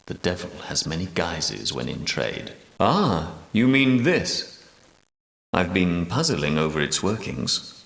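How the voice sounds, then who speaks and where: An actor playing a solemn, commanding medieval knight and prophetic leader, speaking in grave, formal, archaic oratory for a video game. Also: male voice, low voice, sing-song, takes it slowly, creaky, a bit bright, heavily acted. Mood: grave warning. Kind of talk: theatrical video game character dialogue. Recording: roomy echo, slightly distant from mic.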